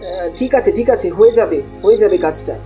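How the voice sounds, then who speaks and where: A woman's voice: medium pitch, normal speed, dark tone, soft background music, close to an everyday voice. A woman playing an eager, money-hungry young village man in a cartoon story, informal and coaxing.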